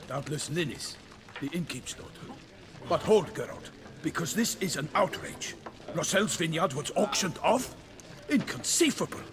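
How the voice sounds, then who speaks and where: An actor playing a pompous, impatient, excitable knight in a fantasy game, speaking in theatrical, archaic phrases. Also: male voice, a little high, normal speed, natural voice. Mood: indignant. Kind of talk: fantasy video game dialogue.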